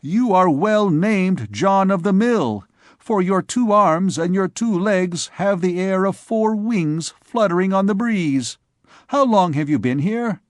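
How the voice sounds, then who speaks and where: A man's voice: sits high, animated, slow pace, no echo, clean studio sound, voice pushed up. A man voicing a young male scholar who jokes at his friend's expense in an old storybook tale. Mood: jesting. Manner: mocking, playful.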